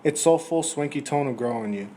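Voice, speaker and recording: A man's voice: medium pitch, brisk, dark tone, close to an everyday voice. A man playing a polite, enthusiastic aspiring musician in a 1920s radio interview. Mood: earnest. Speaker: musician guest. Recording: noisy amateur recording.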